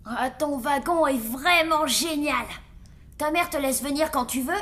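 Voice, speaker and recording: A woman's voice: sits high, measured pace. A woman voicing a mischievous young zombie boy who teases and boasts, in a cartoon. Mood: friendly. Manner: teasing, boastful. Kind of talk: cartoon voice acting. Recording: cartoon soundtrack with loud music.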